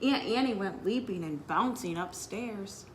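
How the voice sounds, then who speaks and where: A woman's voice: mid-range voice, animated, a little slow, noisy background. A woman speaking as a children's librarian, hosting story time with announcements and read-aloud for young listeners.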